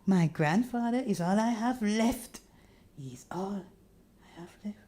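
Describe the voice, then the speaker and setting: A woman's voice: mid-range voice, animated, unhurried, dark tone, slight background noise, pitched above the performer's own voice, lightly acted. Woman playing a blunt, defiant young heroine. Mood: pained. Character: proud, stubborn, frustrated woman defying a family curse.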